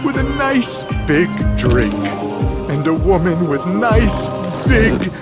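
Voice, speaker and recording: An actor playing a theatrical, self-pitying, sarcastic schemer in a video game, with drawn-out dramatic phrasing. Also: male voice, high-pitched, very animated, over loud music, heavily acted. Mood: whining. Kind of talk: video game cutscene acting.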